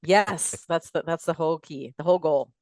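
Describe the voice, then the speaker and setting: A woman's voice: sits low, normal speed, a little mellow, dry recording. A middle-aged woman chatting as a podcast guest, giving emphatic, plain-spoken fitness advice and building her points in lists. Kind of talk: casual interview chat giving advice.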